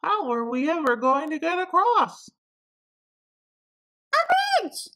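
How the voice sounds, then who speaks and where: A woman voicing an urgent animal character from a storybook, in shrill exclamations. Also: huge pitch swings, slow, bright, forceful tone, heavily acted. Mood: alarmed. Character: urgent cartoon storybook animals fleeing to a cliff.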